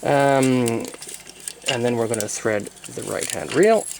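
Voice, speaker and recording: Man giving a halting technical demonstration. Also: mid-range voice, gravelly, slight background noise. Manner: hesitant, halting, methodical explaining. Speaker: presenter demonstrating vintage tape recorders.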